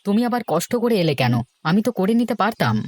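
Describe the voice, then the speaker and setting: A man voicing a sensible, plain-spoken village husband who gently admonishes, in a cartoon folk tale. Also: sits high, sing-song, fast talker, no echo. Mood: considerate. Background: music.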